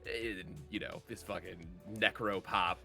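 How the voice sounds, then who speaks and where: A man with a deep voice playing a tabletop game, describing his character in a hesitant, rambling way. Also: fairly even intonation, a little slow, creaky, sharp tone, faint music behind. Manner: hesitant, rambling, with many fillers. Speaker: player.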